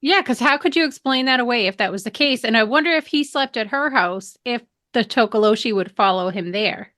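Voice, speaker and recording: A woman's voice: fairly high pitch, lively intonation, brisk, a bit bright, no echo, studio quality. A woman co-hosting a podcast, chiming in with short, wry questions and quips. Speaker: podcast co-host. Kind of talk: casual chat.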